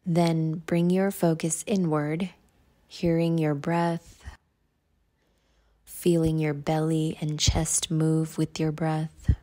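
A woman leading a guided meditation in a measured, instructional way. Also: low-pitched, little pitch movement, dark tone.

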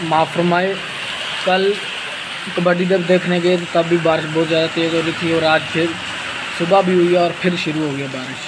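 A man's voice: fairly high pitch, fairly even intonation, brisk. A young man from Pakistan chatting to viewers in a casual vlog, filmed on a phone outdoors on wet streets. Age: young adult. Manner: chatty, addresses viewers directly. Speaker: vlogger. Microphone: phone camera. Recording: loud street noise.

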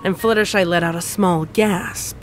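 A woman's voice: lowish voice, fairly even intonation, moderate pace, soft background music. A woman reading a comic fan fiction story as scripted narration.

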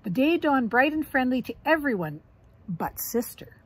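Middle-aged woman, high-pitched but husky, animated storybook reading, talking to small children. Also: moderate pace. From Canada. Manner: expressive, addressing young children. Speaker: grandmother reading to grandchildren. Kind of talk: reading a children's picture book aloud, with asides. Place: outdoors on a deck or yard among trees.

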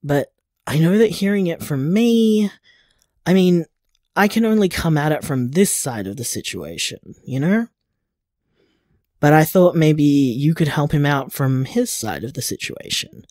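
A man playing a loving, supportive boyfriend in an audio drama, speaking earnestly and haltingly, with casual tenderness. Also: on the high side, animated, darkish tone, no echo, clean studio sound. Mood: caring, concerned. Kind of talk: audio drama voicemail acting.

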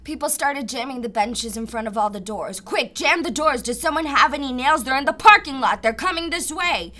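A woman's voice: high-pitched, expressive, brisk, sharp tone. An actress playing a traumatized young woman with the mind and simple speech of a child, in a radio drama. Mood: panicked. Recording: audible background noise.

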